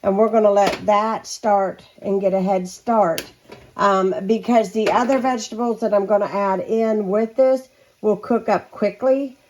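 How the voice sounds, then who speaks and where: A woman, chatting casually to viewers while cooking at home, her voice slightly hoarse and her talk rambling with many ums. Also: medium pitch, darkish tone, rough recording. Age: 40s. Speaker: home vlogger. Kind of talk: casual catch-up and cooking chat.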